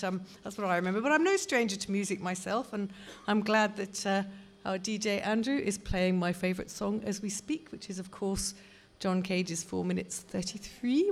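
Woman, event compere, wry, deadpan, mid-pitched. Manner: wry, deadpan jokes between introductions.

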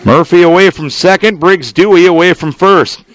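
A man with an American accent giving live baseball play-by-play on the radio, in measured, descriptive phrases with pauses between them. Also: sits high. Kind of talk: live sports play-by-play commentary.

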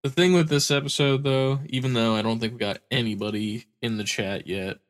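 A man's voice: mid-range voice, fairly even intonation, a little fast. A man co-hosting a podcast in casual chat, stuffy-nosed from a cold, tired and rambling, swearing casually. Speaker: podcast co-host.

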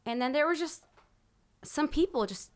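A woman's voice: sits high, lively intonation, normal speed. A young woman, a musician guest on a podcast, talking frankly and emphatically. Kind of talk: casual interview chat.

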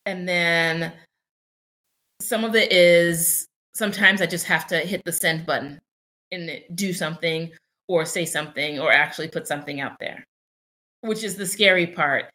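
A woman's voice: on the low side, normal speed, pushed and bright. A young adult woman co-hosting a casual podcast, talking candidly and reflectively with many fillers and restarts. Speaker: podcast co-host.

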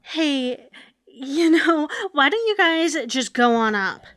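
A woman's voice: sits high, animated, slow pace, a bit bright, dry recording, studio quality. A woman acting out lines from a sitcom script at a table read.

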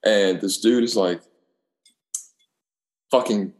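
A man's voice: lowish voice, slow pace. A man chatting casually in profane, slangy anecdotes, acting out the dialogue. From Alabama, United States. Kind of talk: casual chat, telling rambling anecdotes. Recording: echoey room.

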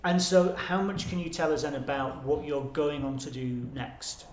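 Male interviewer with a higher voice. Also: moderate pace, slight background noise. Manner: inquisitive, prompting. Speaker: interviewer, podcast host. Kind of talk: interview questions. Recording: roomy echoey sound.

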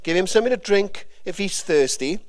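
Welsh guy preaching, pretty high voice, rambly and informal, lots of "like". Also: expressive, fairly quick. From Wales. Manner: rambling, informal, frequent fillers and restarts. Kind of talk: conversational sermon with personal testimony.

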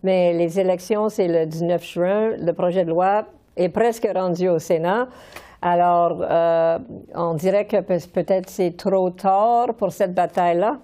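A woman interviewer asking a guest questions in a measured way. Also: lowish voice, warm tone.

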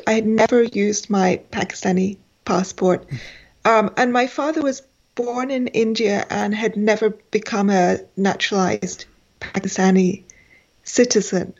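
A woman's voice: medium pitch, dull audio. A woman in her forties, a guest in an interview, speaking with many fillers and restarts. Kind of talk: conversational interview.

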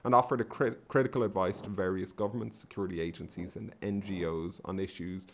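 A man's voice: low voice, steady tone, fast talker, a little hiss or hum. A man hosting a research podcast, reading a scripted introduction of his guest with small stumbles. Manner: reads intro, slight stumbles. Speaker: podcast host.

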